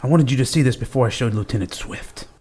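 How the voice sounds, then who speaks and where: A man's voice: mid-range voice, quick pace, warm tone, husky, natural voice. An actor playing a male medical examiner in an audio drama, precise and understated. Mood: clinical, confiding.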